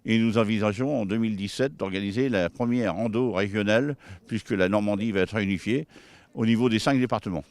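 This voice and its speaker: A man who organises hikes, answering an informal interview in a halting way with self-corrections. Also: fairly low pitch, a little mellow, dry recording. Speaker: hiking club organiser.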